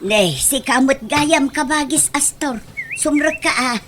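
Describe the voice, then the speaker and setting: A woman's voice: high voice, unhurried, noisy background. A woman playing a nosy older village auntie in an Ilocano comedy radio drama. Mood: friendly.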